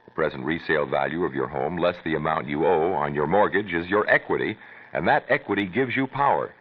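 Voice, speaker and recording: A man reads a radio commercial with a persuasive pitch. His voice rises and falls in a lively way. The sound is tinny and phone-like, from an old broadcast. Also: husky. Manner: persuasive sales pitch, slowing down for numbers. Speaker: commercial announcer. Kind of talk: radio advertisement.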